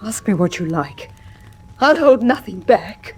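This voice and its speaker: A woman's voice: medium pitch, animated, a little slow, darkish tone, breathy edge. A woman playing a proud, shaken, wronged wife in a radio drama, dramatic and intense. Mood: shaken, bitter. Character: wronged, emotional married lady, proud and shaken.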